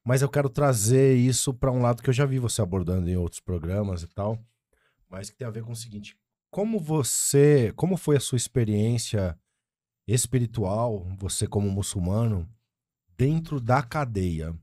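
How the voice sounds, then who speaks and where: A man from Brazil, a podcast host asking his guest measured, formal questions. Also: mid-range voice, expressive, mellow, dry recording, studio quality. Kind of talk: interview questions.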